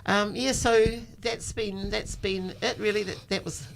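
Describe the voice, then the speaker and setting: A woman's voice: mid-range voice, steady tone, a little slow, vocal fry, background noise. An older woman with a New Zealand accent, telling personal stories in an informal, reflective, conversational way. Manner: informal, reflective, often says "you know". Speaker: storytelling guest, activist.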